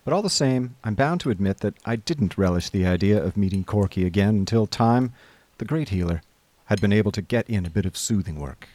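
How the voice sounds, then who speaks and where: A man playing an affable, idle upper-class gentleman, narrating a radio drama in breezy old-fashioned slang. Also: fairly low pitch, lively intonation, fairly quick, no echo. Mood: rueful, breezy.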